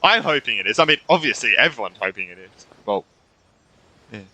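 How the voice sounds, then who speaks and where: Bright, animated male gamer, chatty. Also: medium pitch, fairly quick, creaky, rough recording. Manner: chatty, thinking out loud. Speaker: gamer, playthrough commentator. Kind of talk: casual gameplay commentary.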